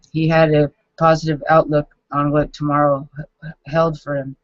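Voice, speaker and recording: A man in his forties reading his own journal entries aloud, halting and self-critical, with frequent restarts. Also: fairly high pitch, no echo. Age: 40s. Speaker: vlogger. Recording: dull lo-fi dry recording.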